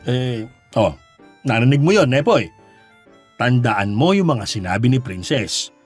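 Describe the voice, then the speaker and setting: An actor playing a middle-aged, chatty, playful Filipino father who talks haltingly and repeats himself in a radio drama. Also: mid-range voice, slightly rough, soft background music. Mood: proud, warm. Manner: halting, repetitive, animated teasing. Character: curious, chatty, playful father meeting son's girlfriend.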